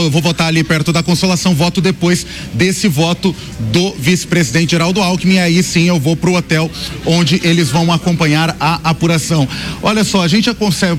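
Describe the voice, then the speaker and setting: Brazilian male field reporter, slightly high voice, live on the street narrating the scene. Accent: Brazilian Portuguese. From São Paulo state, Brazil. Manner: thinks aloud while describing the scene as it happens. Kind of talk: live election-day news report. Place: outdoors at a busy street by a polling station.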